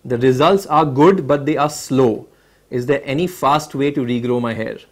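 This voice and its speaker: A man with an Indian accent hosting a medical question-and-answer session, explaining and restating points clearly for viewers. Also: mid-range voice, moderate pace. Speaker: webinar host. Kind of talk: moderating a medical Q&A, reading and recapping.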